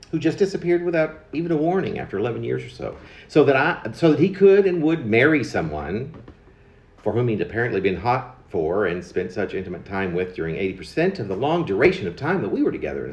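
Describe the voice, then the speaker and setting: A man in his fifties reading his own confessional poem aloud, in a halting way with pauses mid-phrase and stressed words. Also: medium pitch, darkish tone. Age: 50s. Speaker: poet. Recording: dull lo-fi recording in echoey room.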